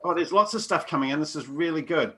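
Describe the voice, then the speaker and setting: A man from New Zealand co-hosting a webinar over a video call, reading out chat messages with quick, upbeat reactions. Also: on the high side, lively intonation, a bit bright, slight background noise. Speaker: webinar co-host.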